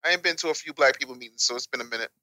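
A man's voice: mid-range voice, rapid-fire, pushed and bright, low-quality recording. A male interview guest explaining his opinions bluntly and wryly, with emphatic lists and rhetorical questions. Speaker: interview guest, author and radio producer.

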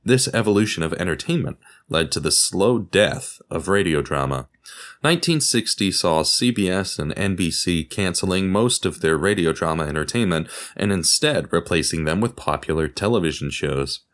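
A man narrating a scripted documentary podcast, telling it like a story with deliberate dramatic emphasis. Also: low-pitched, expressive, a bit bright.

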